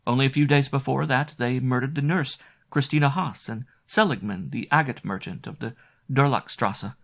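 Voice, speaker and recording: A man's voice: medium pitch, moderate pace, vocal fry, pitched above the performer's own voice. A man voicing a tender, anxious young inn maid in a storybook reading. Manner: soft, urgent, breathless warnings.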